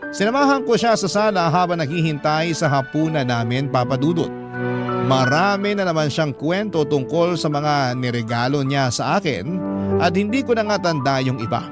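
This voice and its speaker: A man reading a listener's letter aloud in scripted narration, reflective and resentful in tone. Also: fairly high pitch. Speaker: letter reader. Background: background music.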